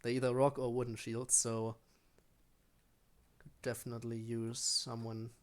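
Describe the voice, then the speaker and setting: A man with a mid-pitched voice gives casual live commentary on a video game. He thinks out loud as he plays, in the offhand style of a streamer talking through each move. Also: level tone, measured pace. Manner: thinking aloud while playing.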